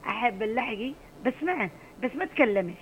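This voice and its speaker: A woman's voice: on the high side, lively intonation, quick pace, a bit bright, a little hiss or hum. A middle-aged woman with an Adeni accent, calling in to a radio show and speaking passionately. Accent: Adeni Arabic. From Aden, Yemen. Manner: passionate, emphatic. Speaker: radio caller. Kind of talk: call-in radio chat.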